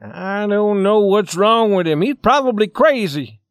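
A man playing an irritable young man, high-pitched. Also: expressive, a little slow, dry recording, studio quality. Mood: irritated. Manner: challenging, exasperated. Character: blunt, irritable young son of a hotel owner.

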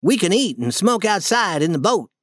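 Bold eager young boy, put on by a reader, storybook, high lively voice, confident, persuasive. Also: normal speed, dry recording, clean studio sound.

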